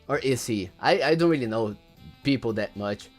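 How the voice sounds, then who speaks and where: A man's voice: medium pitch, expressive, moderate pace, faint music behind. A young man whose first language is Portuguese, rambling through a casual livestream and reading chat aloud, often repeating words.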